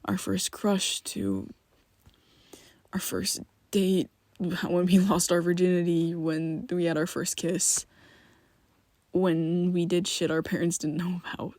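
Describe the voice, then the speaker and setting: A man voicing a young male vampire best friend in an ASMR roleplay, whispering softly and haltingly as he confesses. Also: sits high, flat delivery, dry recording. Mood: hushed confessional. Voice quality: soft close whispering.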